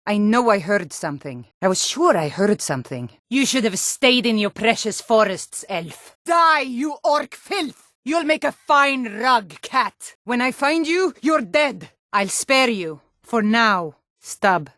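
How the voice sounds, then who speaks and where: Stern, high-voiced fantasy Nord townswoman. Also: big pitch swings, takes it slowly, hardly any pauses, fairly bright tone, dry recording, studio quality, full character voice. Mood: suspicious, then hostile. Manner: blunt, challenging, emphatic. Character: stern, suspicious, hot-tempered Nord townswoman of a fantasy world. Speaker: game character voice. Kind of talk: video game voice lines.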